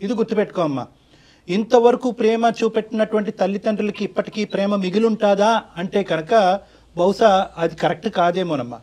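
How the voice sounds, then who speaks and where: Fast, high-pitched man counselling. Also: a little mellow. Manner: emphatic, long-winded, mixes in English phrases. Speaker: counsellor on a talk show. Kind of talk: earnest counselling advice.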